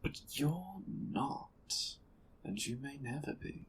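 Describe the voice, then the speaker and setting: An actor playing an amused, condescending superior of a sci-fi order in an audio roleplay, speaking in a measured, theatrical, wry manner. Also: medium pitch, animated, a little hiss or hum. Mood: knowing, explanatory. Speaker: guest voice actor.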